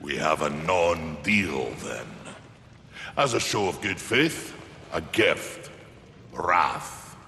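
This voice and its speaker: A man playing a gruff, patient giant maker who guards humanity in a video game, solemn and wry. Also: a bass voice, lively intonation, sharp tone, heavily acted. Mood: measured, wry. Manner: solemn, measured, wryly amused. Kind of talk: video game cutscene acting. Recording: echoey hall, faint background noise.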